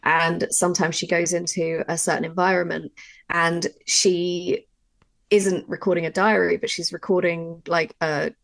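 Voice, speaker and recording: Female workshop co-presenter, writer type, fairly low pitch with plenty of vocal fry, emphatic explainer who repeats for stress. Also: flat delivery, measured pace, a bit bright, no room sound. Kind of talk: informal spoken workshop discussion.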